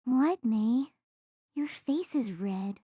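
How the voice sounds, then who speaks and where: Woman as a high-pitched, pushy teenage schoolgirl. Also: expressive, drawn-out words, warm tone, breathy edge, no room sound, studio quality, heavily acted. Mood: urging. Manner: pushy, teasing. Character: bold, meddling teenage schoolgirl egging on her friend.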